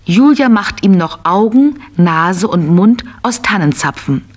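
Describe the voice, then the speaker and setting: A woman narrating a scripted children's tale in an expressive, simple storytelling manner. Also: mid-range voice, unhurried.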